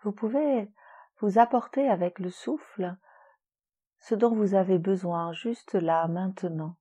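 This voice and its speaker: A woman leading a guided relaxation meditation in a soothing, gentle, unhurried manner with long pauses. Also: mid-range voice, breathy edge. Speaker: yoga nidra relaxation guide.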